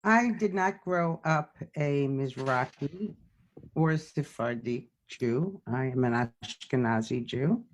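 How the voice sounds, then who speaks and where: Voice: medium pitch, slow pace. A person sharing on a video call, talking earnestly and repeating words for emphasis. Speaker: event participant.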